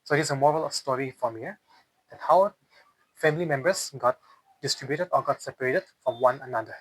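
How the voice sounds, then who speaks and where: A man's voice: mid-range voice, brisk, fairly bright tone, breathy edge, dry recording, poor audio. A man with a Northeast Indian accent telling a story in an interview, explaining as he goes and often repeating and rephrasing his phrases. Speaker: interviewee telling a folk tale. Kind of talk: unscripted oral storytelling in an interview.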